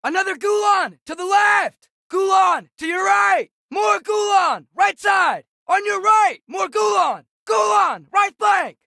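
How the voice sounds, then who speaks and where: A man's voice: very high-pitched, lively intonation, slow pace, gravelly, hardly any pauses, bright, forceful tone, no echo, raised voice, clean studio sound, exaggerated voice. A young male actor playing a teenage boy warrior in a video game, calling out short, urgent combat warnings to his father. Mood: urgent, alarmed. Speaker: game companion character.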